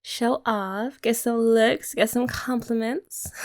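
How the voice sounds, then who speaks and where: A young woman in an ASMR girlfriend roleplay, talking softly and teasingly to the listener. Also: on the high side, fairly even intonation, slow pace. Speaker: ASMR creator.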